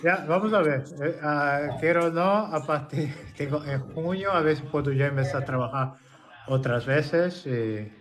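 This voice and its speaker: Brazilian man with a higher-pitched voice. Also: moderate pace, crowd noise. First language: Portuguese. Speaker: guest, dog groomer and seminar teacher. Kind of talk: casual chat.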